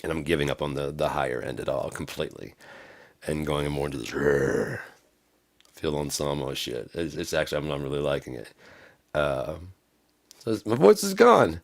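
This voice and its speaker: A man's voice: very deep voice, expressive, normal speed, creaky, dry recording, studio quality. A man tells a rambling, profane story, laughing often. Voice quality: raggedy voice from smoking and screaming. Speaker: vlogger telling a story. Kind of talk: casual storytelling monologue.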